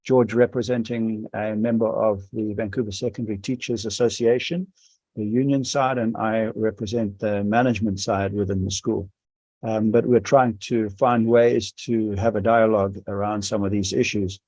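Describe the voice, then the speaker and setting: A man from South Africa with a South African accent, leading an online workshop, speaking haltingly with many pauses and restarts. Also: fairly low pitch, steady tone, darkish tone, no echo. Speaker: school administrator leading a workshop. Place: home or office on a video call. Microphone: video call microphone.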